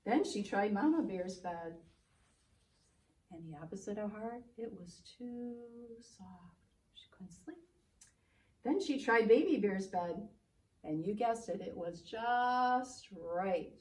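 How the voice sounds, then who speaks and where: A woman tells a children's story as a preschool teacher would, expressive and exaggerated. Her pitch swings widely and her pace is unhurried. The sound is roomy, from a little way off the microphone. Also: distant mic, a little hiss or hum.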